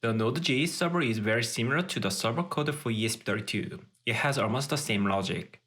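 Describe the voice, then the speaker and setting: A man's voice: medium pitch, quick pace, a bit bright. A man narrating a technical tutorial in a methodical, step-by-step way. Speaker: tech tutorial presenter.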